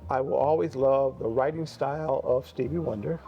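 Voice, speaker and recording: A man in his sixties from Buffalo, New York, answering interview questions about his life in music in a reflective, unhurried way. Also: mid-range voice, dark tone, highs cut off. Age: 60s. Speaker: guest musician. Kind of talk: interview conversation.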